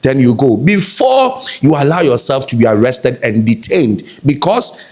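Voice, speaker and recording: A man's voice: mid-range voice, very animated, moderate pace, darkish tone. A man with a Ghanaian accent giving conversational news commentary, repeating himself and drifting off on tangents. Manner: conversational, repetitive, digressive.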